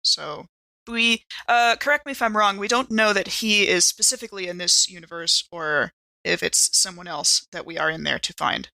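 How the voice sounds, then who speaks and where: A woman's voice: on the low side, moderate pace, gravelly, bright, forceful tone, no room sound, poor audio. A woman improvising in character in a tabletop roleplaying podcast, wordy and hesitant as she pieces things together. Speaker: player in an actual-play podcast.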